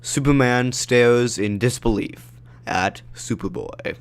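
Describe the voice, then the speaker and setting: A man narrating the action of an amateur superhero audio drama in an even storytelling voice. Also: medium pitch, takes it slowly, smooth.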